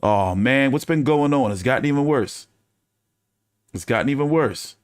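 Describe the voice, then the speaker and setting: A man's voice: mid-range voice, lively intonation, moderate pace, no room sound, studio quality. A man hosting a boxing news channel in casual fan chat, talking loosely with cursing and repeating his phrases.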